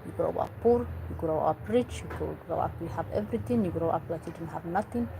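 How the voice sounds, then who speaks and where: A woman's voice: lowish voice, fairly quick, vocal fry, noisy background. A woman from Eritrea, earnestly giving parenting advice in English and repeating herself for emphasis. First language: Tigrinya or other Eritrean language. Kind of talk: earnest talk to camera.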